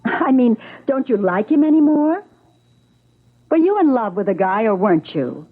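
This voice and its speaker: A woman's voice: on the high side, very animated. An actress playing a nosy, practical female friend in an old-time radio drama, probing and incredulous. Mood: coaxing. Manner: probing, incredulous, brisk opinions. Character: nosy, practical girlfriend pressing for confidences. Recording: thin phone-like old radio recording.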